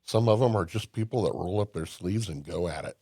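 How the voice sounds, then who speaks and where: A man's voice: low voice, a little fast, mellow, breathy edge, no echo, clean studio sound. An older man from Indiana, a podcast guest telling folksy stories with emphasis and frequent restarts. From Indiana, USA. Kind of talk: casual conversation.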